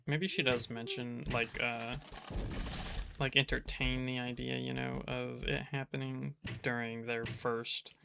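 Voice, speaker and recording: A man giving casual gameplay commentary from his apartment, in a flat, restrained voice that he keeps low so he doesn't wake the neighbors, rambling with frequent restarts. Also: vocal fry, noisy background. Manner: monotone, kept quiet. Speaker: gaming channel host.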